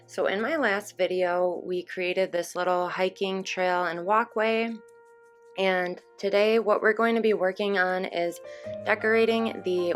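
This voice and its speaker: A young woman hosting her channel, chatting casually over gameplay, her voice a little hoarse. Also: medium pitch. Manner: relaxed, chatty explaining with fillers. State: slightly hoarse from screaming. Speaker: gaming channel host. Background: soft background music.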